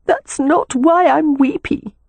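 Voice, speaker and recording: A woman as an earnest, high-voiced young sister. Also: animated, moderate pace, mellow, breathy edge, no room sound. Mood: tearful. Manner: earnest, emotional, emphatic. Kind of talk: audiobook character dialogue.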